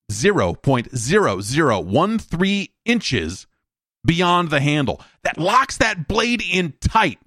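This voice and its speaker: A man's voice: on the high side, a bit bright, dry recording, clean studio sound. A man hosting a solo podcast, talking in a rambling, informal, wry way, moving between casual commentary and ad reads. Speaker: podcast host. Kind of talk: casual solo podcast talk and host-read ad.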